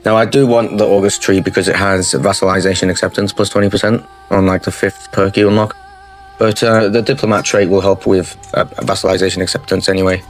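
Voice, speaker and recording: A man talks through a strategy game campaign in a low, level voice. His tone stays steady and matter-of-fact as he explains each choice, with soft music playing under him. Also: brisk. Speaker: gaming channel host. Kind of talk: strategy game playthrough commentary. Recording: faint music bed under the voice.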